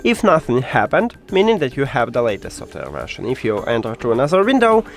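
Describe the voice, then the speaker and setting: A man's voice: medium pitch, wildly swooping pitch, a little fast, gravelly, soft background music. A man hosting a step-by-step tech tutorial in an instructional way, with slightly non-native phrasing.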